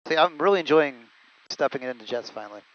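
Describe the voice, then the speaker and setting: A man's voice: on the high side, animated, fast, a bit bright, slight background noise. A man who is a pilot, chatting casually about flying from inside a small jet cockpit. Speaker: pilot, guest co-pilot. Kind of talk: casual chat, recounting flying career. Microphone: aviation headset. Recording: dull, muffled headset audio.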